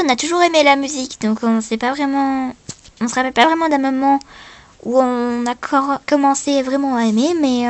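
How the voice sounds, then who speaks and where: A woman acts out a teenage girl from a sister singing duo in a homemade talent-show story. Her voice is very high. She introduces herself earnestly, halting as she goes. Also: lightly acted. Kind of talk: improvised role-play of contestant introductions.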